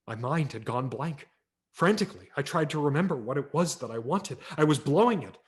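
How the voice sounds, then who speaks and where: A man narrating a humorous story aloud in a wry, expressive reading style for a virtual event. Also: a little high, brisk. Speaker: audiobook narrator. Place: home setup for a virtual event.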